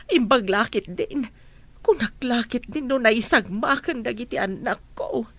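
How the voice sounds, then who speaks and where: High-voiced, fretful Ilocano mother. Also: unhurried, no echo. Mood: concerned. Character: worried, tender mother of grown children abroad. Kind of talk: Filipino radio drama acting.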